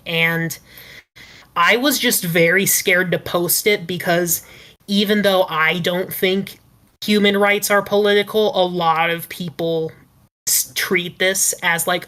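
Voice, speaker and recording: Female podcast panelist, reflective writer; lowish pitch, crisp bright edge, casual Q&A delivery.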